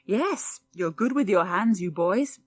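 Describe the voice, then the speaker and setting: A woman's voice: mid-range voice, lively intonation, measured pace, no echo. Woman as a reserved farmer father, brief clipped phrases, plain-spoken, audiobook character. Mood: approving.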